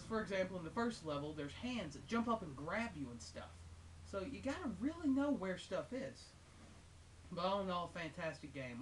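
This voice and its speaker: A man giving a casual, unscripted video game review in a rambling, hesitant but amused and enthusiastic way. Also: fairly high pitch. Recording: hissy, noisy home recording.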